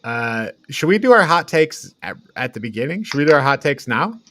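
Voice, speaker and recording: A man's voice: medium pitch, big pitch swings, fairly quick, a bit bright, no room sound. A man co-hosting a podcast, chatting casually and informally as he retells and reviews a movie in loose paraphrase. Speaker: podcast host.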